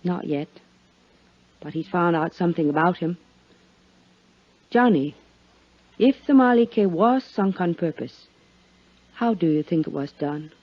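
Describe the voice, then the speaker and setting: A woman's voice: on the low side, expressive, measured pace, long pauses, no echo. A woman playing a cool, coy, hard-headed businesswoman in a 1950s radio drama, speaking with poised, teasing intimacy. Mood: confiding. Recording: muffled vintage 1950s radio recording.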